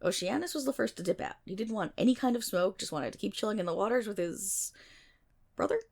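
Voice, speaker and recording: A woman's voice: medium pitch, animated, fast talker, creaky, a little mellow. A woman playing a nerdy, playful best friend in an ASMR roleplay, telling stories in a chatty, dramatic, self-interrupting way with mock exasperation. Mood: animated, dramatic. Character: nerdy, playful female best friend rambling excitedly about mythology. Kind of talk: ASMR roleplay, casual storytelling monologue.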